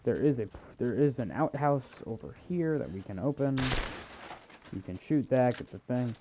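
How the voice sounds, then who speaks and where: A man's voice: mid-range voice, lively intonation, moderate pace, warm tone. Man doing casual game commentary, noisy background. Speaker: video game walkthrough host.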